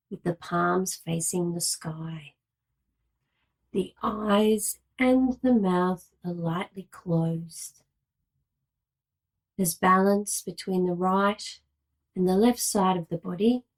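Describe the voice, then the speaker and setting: Woman, meditation guide, lowish voice, very slow pace, calm and soothing, long pauses. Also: poor audio. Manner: calm, measured, soothing delivery. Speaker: yoga nidra meditation guide.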